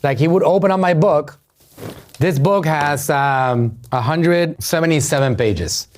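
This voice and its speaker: A middle-aged man with a Puerto Rican accent lectures on business and marketing. He hammers home his points by repeating key phrases and throwing questions at his listeners. His voice sits somewhat high for a man. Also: unhurried, darkish tone, a little hiss or hum. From Puerto Rico. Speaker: podcast host, marketer. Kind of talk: motivational business talk to an audience.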